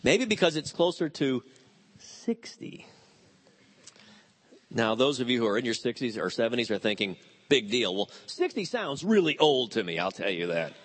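A man in his fifties preaching a sermon, animated and telling stories with quoted voices. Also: medium pitch, moderate pace. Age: 50s. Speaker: pastor.

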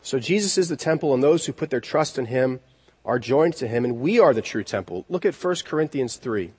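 A man preaching an expository sermon in an earnest, deliberate way, repeating key words for emphasis. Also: medium pitch, animated, darkish tone, slightly rough. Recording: long reverberant hall echo.

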